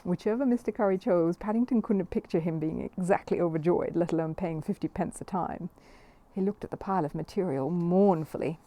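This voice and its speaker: Mellow, lowish woman reading a story. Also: brisk. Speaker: storybook reader. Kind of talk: expressive scripted children's story narration.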